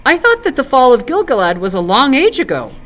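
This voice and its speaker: Storybook role: humble, amazed little hobbit man played by a woman, raised pitch, halting stammer. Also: huge pitch swings, fairly bright tone, full character voice. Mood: astonished. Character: astonished small hobbit man, humble and curious. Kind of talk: storybook character in read-aloud.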